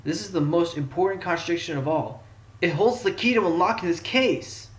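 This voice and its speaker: A man's voice: high-pitched, a little fast, reverberant, close to an everyday voice. A man playing a determined, sly fox defense attorney in a fan audio drama, speaking emphatically and theatrically. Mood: confident. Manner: theatrical, emphatic, bursts into objections.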